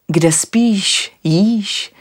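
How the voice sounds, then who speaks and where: A woman's voice: mid-range voice, voice pushed up, lightly acted. A woman reading aloud, voicing a tired, agitated man who fires off indignant questions in a raised voice. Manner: loud, exasperated questioning.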